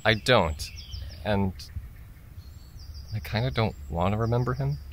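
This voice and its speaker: Man acting a bright-voiced, troubled young man. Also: fairly low pitch, unhurried, slight background noise. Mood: troubled, defensive. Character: troubled, self-doubting young man, eldest brother. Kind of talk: audio drama dialogue.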